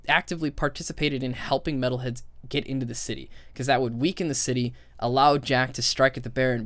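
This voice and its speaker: A man's voice: mid-range voice, dry recording. A man giving a casual, opinionated game critique, thinking aloud in a sweary, exasperated way. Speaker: video commentator.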